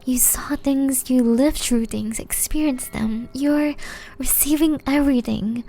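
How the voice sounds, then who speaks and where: A woman playing a gentle, centuries-old banished witch in an ASMR roleplay, speaking softly and breathily, often whispering, with a slow, tender, pleading manner. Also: high-pitched. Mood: wistful, tender. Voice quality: soft, breathy, largely whispered.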